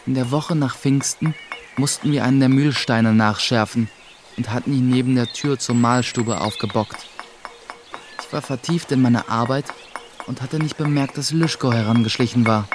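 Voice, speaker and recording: An actor playing a young, guarded mill apprentice who narrates a radio play in a reflective, restrained way. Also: male voice, medium pitch, normal speed, noisy background, natural voice. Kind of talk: scripted first-person narration in a German radio play. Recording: music behind the voice.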